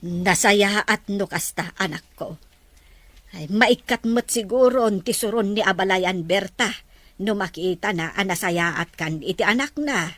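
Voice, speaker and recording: A woman with an Ilocano Filipino accent playing a pious, caring older mother who gives gentle advice in a family drama. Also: medium pitch, measured pace, dry recording. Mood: gentle, approving. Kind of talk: acted family drama dialogue.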